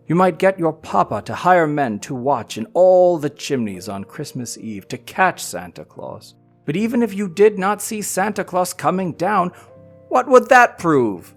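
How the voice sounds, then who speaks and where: A man giving a theatrical, emphatic dramatic reading of a classic letter, with drawn-out pauses. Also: medium pitch, gravelly. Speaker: reader in a theatre company's audio series.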